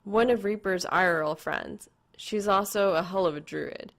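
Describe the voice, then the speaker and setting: A woman's voice: mid-range voice, normal speed. A woman reading a piece of fan fiction aloud as a scripted narration.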